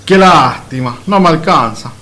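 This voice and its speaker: A man playing a sly trickster who longs for a horse in a radio play, musing and bargaining deliberately. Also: on the high side, animated, pushed and bright. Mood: wistful. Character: Pedro Urdemales, sly young trickster longing for a horse.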